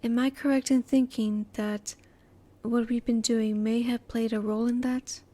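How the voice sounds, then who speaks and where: A woman acts out a female alien in an intimate audio roleplay. Her voice sits slightly high yet is very soft-edged and relaxed. She is politely clinical and inquisitive, keeping her emotions in reserve as she speaks in measured, formal phrases. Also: close to an everyday voice. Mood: analytical, curious.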